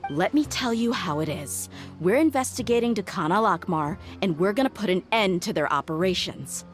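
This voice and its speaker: A woman's voice: medium pitch, lively intonation, moderate pace, faint music behind, lightly acted. An actress voicing a tough, blunt, confident young mercenary woman in a video game, speaking assertively with a sardonic, threatening edge. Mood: confident, menacing.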